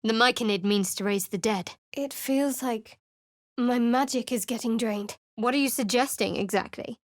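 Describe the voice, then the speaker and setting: An actress voicing a guarded, sardonic young woman cleric of a dark goddess in a video game, speaking in a cool, dry and wary way. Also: on the high side, moderate pace, no echo, close to an everyday voice. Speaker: game companion character.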